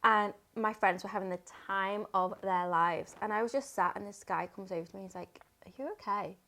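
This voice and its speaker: A woman's voice: medium pitch, a little fast. Woman, thirties, podcast co-host, talkative, laughs a lot, casual chat. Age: 30s. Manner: chatty, animated storyteller, laughs often.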